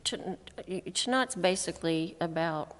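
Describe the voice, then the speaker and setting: A woman's voice: fairly low pitch, a little slow, fairly bright tone. An American woman making plain-spoken, folksy remarks as a council member at a public meeting. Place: council chamber. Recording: reverberant meeting room.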